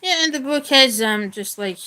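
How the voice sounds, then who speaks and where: A woman's voice: high voice, lively intonation, moderate pace, sharp tone. A woman reading a children's nonfiction book aloud like an educator, adding informal, casual asides.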